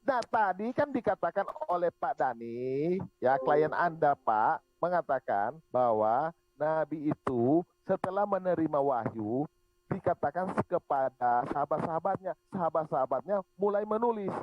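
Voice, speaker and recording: A man's voice: a little high, expressive, a little slow, no room sound. A man hosting an online religious debate, pressing the others for answers. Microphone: online group call. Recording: muffled lo-fi online call audio.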